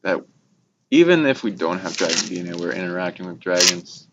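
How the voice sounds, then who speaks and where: A man's voice: sits low, expressive, fairly quick, fairly bright tone. A man co-hosting a casual spiritual chat, speaking in a meandering, informal and enthusiastic way. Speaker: talk show co-host. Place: informal recording by a fire. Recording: muffled lo-fi, clear background noise.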